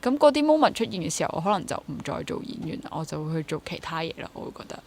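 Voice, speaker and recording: A woman's voice: fairly low pitch, big pitch swings, very fast, darkish tone. A woman in her twenties with a Hong Kong Cantonese accent, talking casually as an interview guest, reflective and rephrasing as she goes, mixing in English words. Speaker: interview guest, freelance actor and drama teacher.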